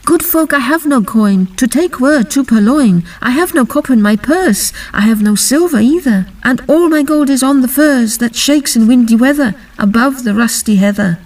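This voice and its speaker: A woman's voice: high voice, lively intonation, moderate pace, hardly any pauses, a little mellow, recorded from a distance. A woman playing earnest young maidens in a dramatic, theatrical reading of a Victorian fairy-tale poem. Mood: anxious. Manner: theatrical, emphatic recitation.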